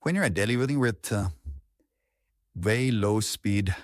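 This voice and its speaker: A man's voice: on the low side, measured pace, no echo, clean studio sound. A man speaking as a coach, giving an informal, explanatory lesson on public speaking.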